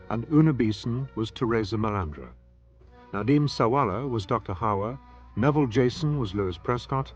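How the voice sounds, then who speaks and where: A man's voice: medium pitch, muffled recording. A man reading broadcast credits as a formal radio announcer. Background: music.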